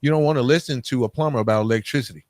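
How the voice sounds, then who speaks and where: A man's voice: mid-range voice, very fast, darkish tone, no echo, studio quality. A man hosting a podcast interview in a casual, affirming, conversational way, adding reflective commentary. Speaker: podcast host.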